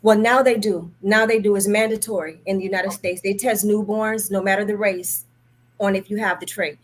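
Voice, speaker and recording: A woman's voice: medium pitch, fairly even intonation, normal speed. Middle-aged woman explaining in earnest. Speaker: guest, parent advocate for sickle cell. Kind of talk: earnest personal storytelling in an interview. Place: remote video call.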